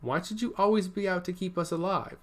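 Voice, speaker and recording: A man voicing a loyal, resolute female ship captain who speaks solemnly and calmly. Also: sits high, brisk, voice pushed up, close to an everyday voice. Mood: puzzled.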